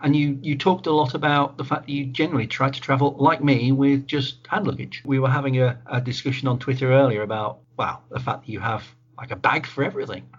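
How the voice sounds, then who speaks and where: Husky man hosting a podcast. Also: mid-range voice, brisk, hardly any pauses. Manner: relaxed, informal, British slang. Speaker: podcast host. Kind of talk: casual chat over a video call. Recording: dull, lo-fi call audio.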